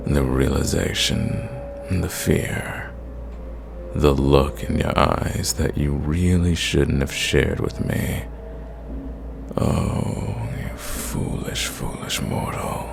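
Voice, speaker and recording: An ancient, sadistic vampire acted out by a man speaks in an extremely low voice full of gravelly creak. He takes his time, slow and theatrical, condescending to his listener as befits an ASMR fairytale roleplay villain. A faint noise sits behind the voice. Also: exaggerated voice. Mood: menacing. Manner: theatrical, condescending, measured, drawing out words.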